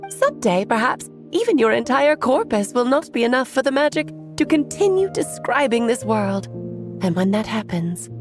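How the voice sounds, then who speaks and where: A woman's voice: fairly high pitch, sing-song, moderate pace, music in the background. A woman playing a kindly, caring magician in a video game, speaking in a theatrical, affectionate way. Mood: thoughtful, gentle. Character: kindly female storybook magician, expressive, caring old friend. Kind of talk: scripted video game voice acting.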